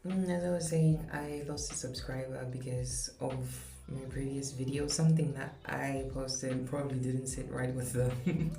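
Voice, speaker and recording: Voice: mid-range voice, steady tone, measured pace, vocal fry, dark tone. A young adult vlogger from Zambia, speaking in an earnest, candid opinion monologue with light laughs. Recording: echoey room with background music.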